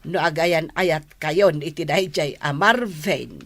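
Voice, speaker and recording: Slow-talking, fond Ilocano mother. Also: low-pitched, exaggerated voice. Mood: fond, teasing. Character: affectionate, gently teasing older mother. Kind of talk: Ilocano radio drama acting.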